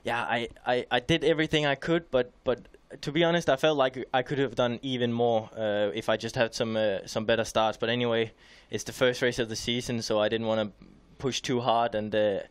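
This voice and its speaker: A young man guesting on a studio show as a motocross rider, candid and halting, with many restarts, on a headset mic in a small TV studio. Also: medium pitch, steady tone, clear, resonant tone, no echo. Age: young adult.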